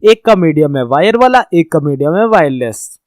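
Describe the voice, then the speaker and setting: A man with a high voice gives a casual classroom-style explanation, talking straight to his viewers. He drops English terms into his Hindi sentences. The sound is close and dry, with no room around it. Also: big pitch swings, normal speed, darkish tone, no echo. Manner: conversational teaching, addresses viewers directly, mixes English terms into Hindi. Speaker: networking course instructor. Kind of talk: explaining slides in a recorded lesson.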